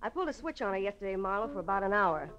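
Actress as tough American femme fatale, medium pitch. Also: female voice, big pitch swings, highs cut off, close to an everyday voice. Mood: hard, determined. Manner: tough, brisk, guarded. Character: tough, shrewd woman silk merchant, a femme fatale client. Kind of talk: late-1940s American radio noir acting. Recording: vintage radio drama, faint music behind.

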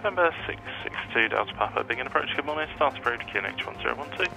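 A man's voice: mid-range voice, expressive, racing, gravelly, bright, forceful tone, soft background music, poor audio. A man working as an air traffic controller, giving clipped procedural instructions over radio. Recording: aviation radio over background sound.

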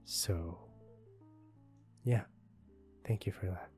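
A young man playing a shy, apologetic employee with a crush, speaking softly and hesitantly in an intimate ASMR roleplay. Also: low voice. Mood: earnest, shy. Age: young adult. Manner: hesitant, soft-spoken, halting. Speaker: voice actor. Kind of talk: ASMR roleplay, intimate one-sided conversation. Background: soft guitar music.

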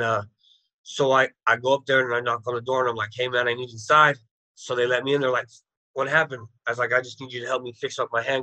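A man's voice: mid-range voice, sharp tone, no echo, poor audio. A man in his thirties, a guest on a video call, bluntly recounting his past in plain street slang. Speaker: interview guest. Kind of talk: telling his life story.

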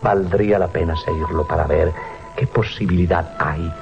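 Low-voiced, sly schemer. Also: male voice, steady tone, moderate pace, gravelly, a little mellow, soft background music. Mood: scheming. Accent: Spain. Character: scornful, scheming male thief, sly and skeptical. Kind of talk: 1980s Spanish radio drama acting. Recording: muffled 1980s radio drama recording.

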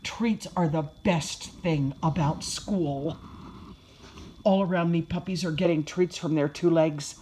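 A woman voicing an excitable, food-crazed young puppy who gushes childlike exclamations as he tells his own storybook tale. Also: deep voice, measured pace, slight background noise. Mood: happy, earnest. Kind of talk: first-person children's storybook narration.

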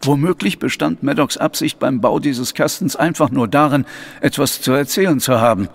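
An actor playing a sceptical, grumbling police inspector in an audio drama, with a gravelly, husky voice and a blunt, doubting manner. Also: male voice, medium pitch, moderate pace. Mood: dismissive.

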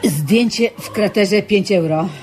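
An actress playing a brash tour guide who hustles sightseers with showy, colloquial patter in a radio drama. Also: female voice, medium pitch, slow pace, darkish tone, close to an everyday voice. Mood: sly.

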